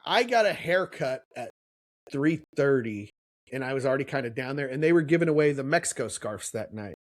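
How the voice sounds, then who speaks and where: A man's voice: mid-range voice, animated, a little fast, no echo, clean studio sound. A man, co-hosting a podcast and telling a long, rambling story in casual chat. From Kansas City, USA. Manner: rambling storyteller, acts out quotes. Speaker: podcast co-host.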